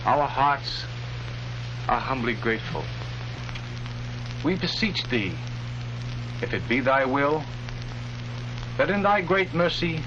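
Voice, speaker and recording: A man acting a devout colonial settler father in a film drama, praying humbly in slow, halting, archaic phrases. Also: on the high side. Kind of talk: solemn archaic prayer in educational film drama. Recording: dull, noisy old educational film soundtrack.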